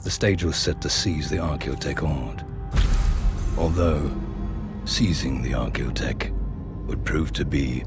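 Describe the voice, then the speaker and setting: Game narrator: extremely low voice, knowing and sardonic, measured dramatic delivery over loud music. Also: male voice, fairly even intonation. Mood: wry, ominous. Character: knowing, sardonic male storyteller of underworld gang wars. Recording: music under the voice.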